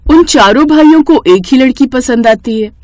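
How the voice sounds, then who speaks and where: Woman reading a story, high lively voice, expressive, dry studio-like sound. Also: normal speed, no room sound. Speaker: story narrator. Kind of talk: scripted storybook narration.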